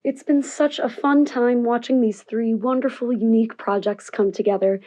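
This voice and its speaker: A woman's voice: on the high side, fairly even intonation, moderate pace, warm tone. A woman giving a scripted introduction as the show's producer.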